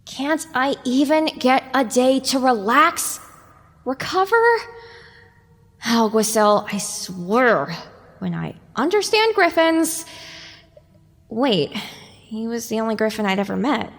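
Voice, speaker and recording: A woman's voice: on the high side, animated, slow, pauses often, fairly bright tone, full character voice. A woman voicing a young, self-conscious little pony filly with wry, exasperated muttering in an audio drama, with echoing reverb.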